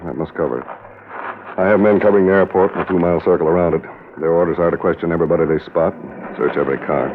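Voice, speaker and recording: An actor playing a steady, no-nonsense state police captain in an old radio drama, plain-spoken and brisk. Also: male voice, sits low, close to an everyday voice. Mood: steady, sober. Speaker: supporting actor. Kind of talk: 1940s-50s radio drama acting. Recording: tinny, noisy old radio recording.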